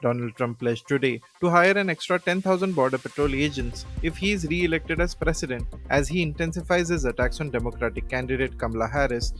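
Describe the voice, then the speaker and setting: A man's voice: fairly high pitch, fairly quick, few pauses, fairly bright tone. A man with an Indian accent presenting scripted news as a newsreader. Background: music.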